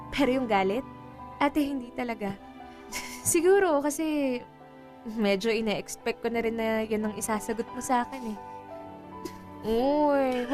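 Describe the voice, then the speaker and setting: High-voiced, frank young Filipino woman acted out by a woman. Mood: reassuring. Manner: candid, teasing, reassuring. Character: frank, cheerful 21-year-old daughter seeking the truth. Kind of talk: conversational radio drama acting. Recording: music bed under the dialogue.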